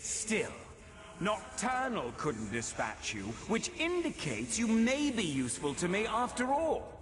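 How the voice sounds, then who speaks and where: Fantasy game villain: vengeful male demon lord, silky and menacing, very animated delivery, long echoing reverb. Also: high-pitched, exaggerated voice. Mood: grudging. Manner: theatrical, silky, menacing, deliberate. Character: scheming, vengeful male daedric prince, theatrical and menacing. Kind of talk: scripted fantasy video game acting. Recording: long cavernous reverb, game ambience behind.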